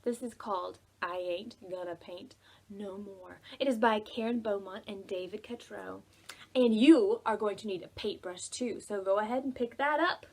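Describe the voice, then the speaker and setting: A woman hosting a preschool storytime, telling stories to young children in an expressive way, with drawn-out words and soft, hushed phrases. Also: medium pitch, husky. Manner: addresses children directly with simple questions.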